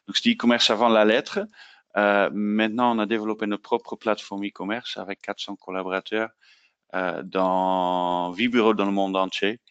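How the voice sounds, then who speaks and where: A man's voice: on the low side, level tone, normal speed, fairly bright tone, smooth. A man with a light Dutch accent, speaking French as a second language, presenting hesitantly in a sales webinar. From Netherlands. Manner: hesitant, filler-heavy French. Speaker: sales manager. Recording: dull lo-fi webinar audio.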